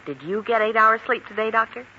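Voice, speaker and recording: A woman's voice: fairly high pitch, fairly quick, bright, forceful tone, highs cut off. A woman playing a friendly young hospital receptionist, chatty, teasing and curious, in 1940s radio drama style. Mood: teasing, probing. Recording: old radio recording, faint noise.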